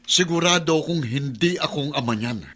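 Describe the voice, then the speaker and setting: A man's voice: fairly high pitch, moderate pace, a bit bright, husky. A man with a Filipino accent playing a jealous, controlling rich husband in a radio drama, commanding and menacing. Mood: angry, threatening.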